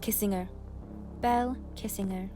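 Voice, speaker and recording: A woman's voice: mid-range voice, steady tone, normal speed, dark tone, faint music behind, lightly acted. An actress playing a polite, hesitant but bold female hostage in a radio play, with a dry delivery. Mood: dryly defiant.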